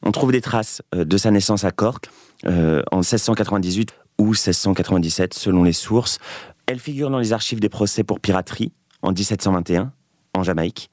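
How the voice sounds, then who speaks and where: An actor plays a pedantic male historian in a naturalistic drama. His voice is lowish and closely recorded with a dry sound, and he argues with exasperated condescension. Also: fairly quick, darkish tone, no echo, lightly acted. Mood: dismissive.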